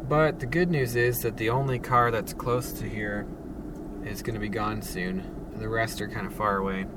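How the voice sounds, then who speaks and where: A man hosting a casual solo podcast from his parked car, speaking in a monotone with many halting fillers and restarts. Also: fairly low pitch, far from the mic, noisy background. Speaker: podcast host. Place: sitting alone in a parked car.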